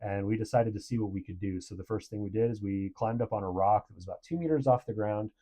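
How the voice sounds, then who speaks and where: A man's voice: lowish voice, quick pace, dark tone. A man giving a casual product review in a conversational, approving way.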